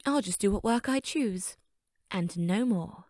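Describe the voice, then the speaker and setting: A woman's voice: medium pitch, expressive, unhurried, darkish tone, no echo, studio quality. A woman voicing a vain, haughty, easily flustered female railcar engine in a theatrical children's story. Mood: smug, boastful. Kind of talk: character voice for a children's story fandub.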